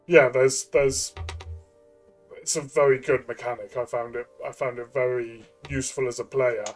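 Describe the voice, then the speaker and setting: Man, medium pitch, casual game review chat, halting and thinking aloud, quiet music underneath. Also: level tone. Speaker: tabletop game reviewer and player.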